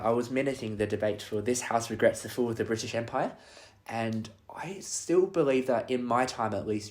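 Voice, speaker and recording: A man's voice: on the low side, darkish tone. A young man hosting a podcast interview, friendly and chatty, often laughing lightly between questions. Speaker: podcast host. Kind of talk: casual interview.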